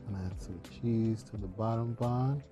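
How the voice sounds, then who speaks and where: A man's voice: lowish voice, measured pace, over music. Man in his forties, casual chef. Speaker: restaurant owner and chef, contestant. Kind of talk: casual talk and cooking demonstration.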